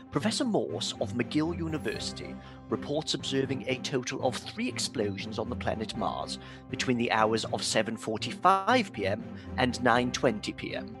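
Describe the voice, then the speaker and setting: A man's voice: mid-range voice, close to an everyday voice. An actor playing a male studio news announcer in a radio play, reading bulletins formally. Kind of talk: amateur radio play acting. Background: music.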